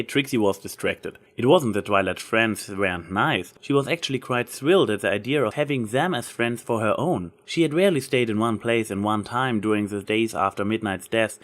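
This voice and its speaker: A man's voice: on the low side, animated, a little fast, hardly any pauses. A man reading scripted fan fiction as the story's narrator.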